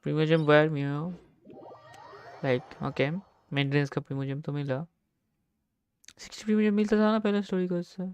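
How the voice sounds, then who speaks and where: Woman game streamer, Indian accent: low, smooth, fast, off-the-cuff live commentary. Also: darkish tone, muffled recording. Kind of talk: casual live gaming commentary.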